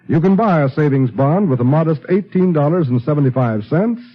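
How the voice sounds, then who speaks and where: An American man announcing in an earnest, scripted 1950s radio style. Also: slightly rough. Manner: earnest salesman's pitch. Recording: muffled old radio recording.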